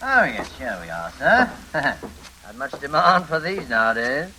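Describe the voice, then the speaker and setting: An actor playing a chatty, obliging shopkeeper in old-time radio suspense, with a servile manner. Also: male voice, medium pitch, very animated, unhurried, sharp tone, heavily acted. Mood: cheerfully obliging. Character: chatty, obliging shopkeeper selling household goods. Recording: noisy, crackling old radio broadcast.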